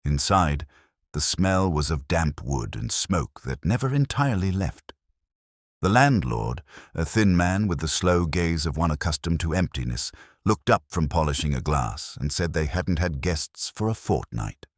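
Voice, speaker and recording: A man narrating a scripted first-person detective story in a measured, literary, brooding way. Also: a bass voice, no echo, studio quality.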